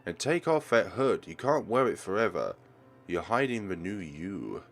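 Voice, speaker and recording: A doting mother from a visual novel, acted out by a man, speaks with affection and attentive cheer. The pitch rises and falls freely in a gentle, caring way. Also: very animated, moderate pace, heavily acted. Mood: gentle, upbeat.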